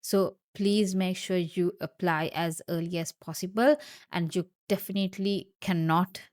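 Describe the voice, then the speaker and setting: A woman's voice: on the low side, steady tone, a little slow, a little mellow. A woman from Sri Lanka, a doctor, patiently explains job terms in an informal video, repeating key points and speaking directly to viewers. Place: Queensland, Australia.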